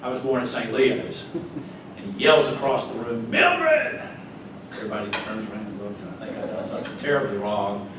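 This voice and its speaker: Older man, chatty speaker, low voice, distant mic, echoey noisy hall. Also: expressive, normal speed. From North Carolina. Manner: chatty, folksy, chuckling asides. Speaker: club guest speaker. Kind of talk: casual slide talk. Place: meeting hall. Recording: echoey room from far off, noisy.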